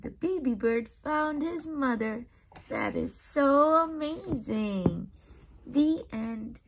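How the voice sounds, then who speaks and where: A woman hosting a read-aloud for young children, narrating expressively and pausing to explain and ask simple questions. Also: on the high side, animated, noisy background. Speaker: storybook reader and channel host.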